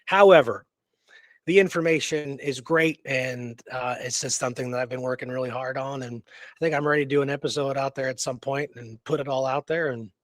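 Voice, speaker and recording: A man's voice: mid-range voice, steady tone, brisk, dry recording. A man co-hosting a history show in casual, unscripted chat, rambling and conversational with emphatic repetition. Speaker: co-host of an Old West history show.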